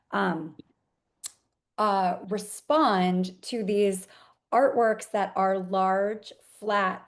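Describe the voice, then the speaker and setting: A woman artist answering interview questions in a thoughtful, articulate way, explaining things in measured detail. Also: mid-range voice, a little mellow.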